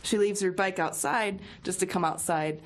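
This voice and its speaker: A woman's voice: lowish voice, fairly even intonation, moderate pace. A woman musician talking casually and jokingly as a guest on a radio show. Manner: joking, playful. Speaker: musician guest, singer-songwriter.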